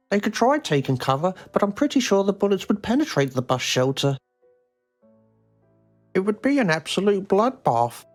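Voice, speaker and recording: A woman voicing an odd, lonely grown son in a game, earnest and quaintly formal. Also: deep voice. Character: odd, lonely, long-confined middle-aged son.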